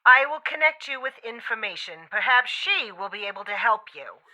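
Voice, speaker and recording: A woman's voice: a little high, lively intonation, moderate pace, pushed and bright, no echo. A woman playing a polite, unruffled telephone operator in a 1940s-style radio drama, with clipped, formulaic delivery. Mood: detached politeness. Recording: dull telephone-line sound.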